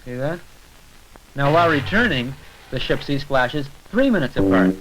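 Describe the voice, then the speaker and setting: A man's voice: mid-range voice, very animated, normal speed, over music. A man narrating an educational science short in an informal, conversational lecture style, explaining step by step. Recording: noisy old 1970s film soundtrack with clicks.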